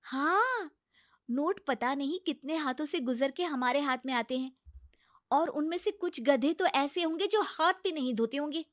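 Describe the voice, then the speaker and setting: A woman's voice: high-pitched, animated, moderate pace, no room sound. A woman voicing a young, nagging, sarcastic daughter-in-law in a comic cartoon story, with pleading, exasperated and teasing retorts.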